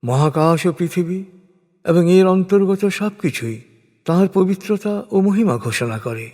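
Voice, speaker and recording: A man with a slightly high voice narrates scripture in a solemn, scripted style. He sets each phrase apart with deliberate pauses. Long reverb trails after his words, as in a large hall. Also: expressive, darkish tone.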